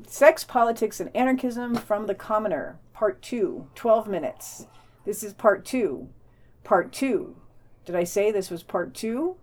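A woman's voice: mid-range voice, measured pace, darkish tone. A woman co-hosting a podcast, reading a news digest in an informal, wry way. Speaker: podcast co-host and news reader.

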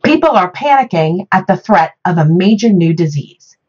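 Female podcast presenter, slightly low husky voice, reading a prepared monologue on muffled lo-fi audio. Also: lively intonation, moderate pace, a little mellow. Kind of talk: scripted topical monologue.

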